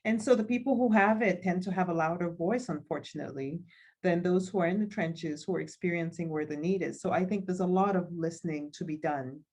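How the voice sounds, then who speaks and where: A woman's voice: on the low side, a little fast, a little mellow. A woman in her forties from Cameroon, a nonprofit leader talking thoughtfully as a guest over a video call, with frequent ums. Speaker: guest, nonprofit school CEO. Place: home office with chalkboard behind. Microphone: video call laptop mic.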